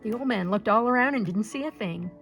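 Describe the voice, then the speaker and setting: A woman storyteller reading a picture-book folktale aloud in an expressive way. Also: medium pitch, quick pace, gravelly, soft background music.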